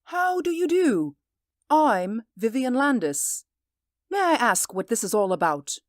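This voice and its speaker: A woman's voice: a little high, very animated, unhurried, no room sound, clean studio sound. An actress playing a composed, refined older lady who speaks in a poised, prim way, in a 1940s radio drama.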